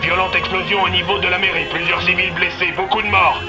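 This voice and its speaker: A man's voice: high voice, little pitch movement, a little fast, over music, voice pushed up. A man playing a security agent in an audio drama, making clipped, urgent emergency calls over a radio.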